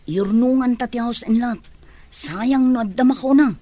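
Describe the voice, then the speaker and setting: A woman's voice: fairly high pitch, moderate pace, warm tone, no echo, natural voice. A woman playing a self-interested wife in an Ilocano radio drama. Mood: scheming.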